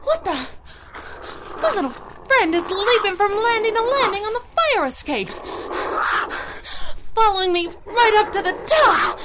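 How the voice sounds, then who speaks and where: A woman's voice: very high-pitched, sing-song, a little slow, long pauses, exaggerated voice. A woman playing a plucky, sassy young masked sidekick in radio adventure style, tossing out teasing quips, with noisy effects behind her. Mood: breezy, excited.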